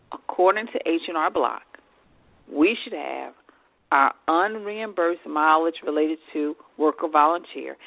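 A woman's voice: low-pitched, gravelly, no echo. A woman hosting an advice podcast, sounding congested and nasal, reading off lists of tips with casual fillers. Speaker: podcast host. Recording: tinny phone-like line.

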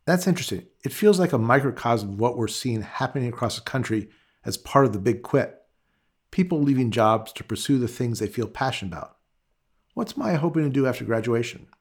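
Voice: mid-range voice, animated, fairly quick, slightly rough. A show host asking questions in a conversational way and giving brief, affirming responses. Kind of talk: conversational podcast hosting.

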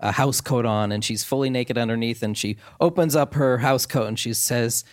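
A man's voice: mid-range voice, moderate pace, no room sound, studio quality. A man with a Maine accent, chatting on a live stage as a comedy guest. He rambles and restarts his sentences as he tells a joke, with a middle-range voice. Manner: rambling, many restarts, laughing storyteller. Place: theater stage before an audience.

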